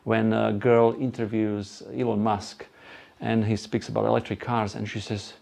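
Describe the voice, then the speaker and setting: A man with a Slovak accent giving an informal, chatty talk to a live group, halting now and then for asides. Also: on the low side, flat delivery, gravelly, darkish tone. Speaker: presenter at an electric car group event. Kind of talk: live presentation to a small audience.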